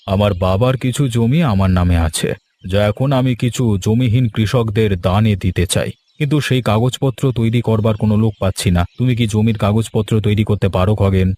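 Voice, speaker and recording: A man voicing a calm, generous and trusting village headman in a cartoon fairy tale, speaking gently and with measured approval. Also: lowish voice, lightly acted. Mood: trusting, grateful. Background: background music.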